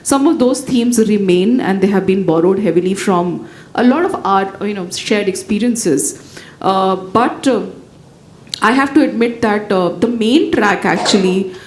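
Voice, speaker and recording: A woman writer whose first language is Bhojpuri answers questions on a panel, thoughtful and full of fillers and restarts. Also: unhurried. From India. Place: event hall with audience. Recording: echoey hall, faint rumble.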